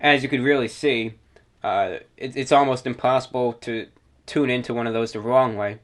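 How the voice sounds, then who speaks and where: Guy hosting a radio hobby show, medium pitch, explaining stuff kinda formally. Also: steady tone, moderate pace, a bit bright. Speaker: host of a shortwave radio show. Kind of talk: informal explanatory presentation.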